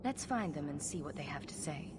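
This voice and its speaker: A woman's voice: deep voice, lively intonation, fairly quick, very noisy. An actress voicing a witty, sarcastic young woman rogue in a video game cutscene. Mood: wry, composed. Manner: wry, sarcastic.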